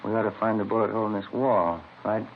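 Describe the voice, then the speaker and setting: An actor playing a plainspoken, skeptical police detective in a 1950s radio drama, understated and matter-of-fact. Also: male voice, fairly low pitch, fairly even intonation, fast, natural voice. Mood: skeptical, focused. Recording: dull old radio recording with hiss.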